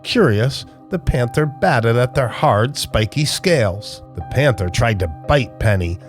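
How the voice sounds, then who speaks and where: A man's voice: mid-range voice, expressive, unhurried, soft background music. An older man hosting a children's storytime, speaking playfully and with exaggerated expression to young viewers, as an entertainer.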